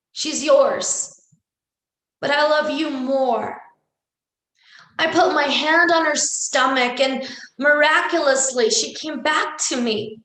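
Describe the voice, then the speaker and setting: A woman's voice: sits high, fairly bright tone. A woman from El Salvador whose first language is Spanish, giving an earnest inspirational talk and repeating words for emphasis. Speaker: speaker. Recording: echoey room.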